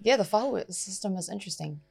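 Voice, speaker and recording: A woman hosting a podcast, chatty and quick, with playful asides between serious points. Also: mid-range voice, lively intonation, creaky, a little mellow, slightly rough, soft background music. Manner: quick, chatty, laughs easily. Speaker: podcast host. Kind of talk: casual podcast chat.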